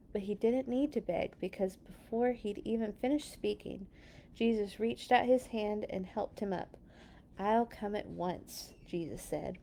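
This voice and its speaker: Gravelly, expressive woman storyteller. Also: mid-range voice. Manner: expressive, with asides to children. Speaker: children's story reader. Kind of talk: children's read-along storytelling.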